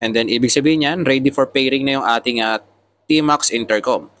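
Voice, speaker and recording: A man's voice: medium pitch, brisk, fairly bright tone. A man with a Filipino accent giving a casual product tutorial, explaining things step by step as a motovlogger. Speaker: motovlogger reviewing motorcycle intercoms.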